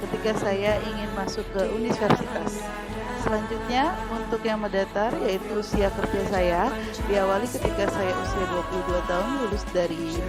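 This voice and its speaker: Woman in her 30s reading out her assignment, steady and formal, loud music behind. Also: mid-range voice, moderate pace. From Indonesia. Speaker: teacher-training candidate. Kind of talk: reading a prepared assignment presentation.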